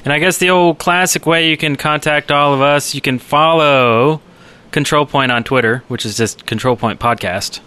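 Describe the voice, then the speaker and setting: A man's voice: fairly high pitch, normal speed, sharp tone, clear, resonant tone. A man co-hosting a podcast in casual, chatty conversation. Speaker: podcast co-host. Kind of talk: casual chat.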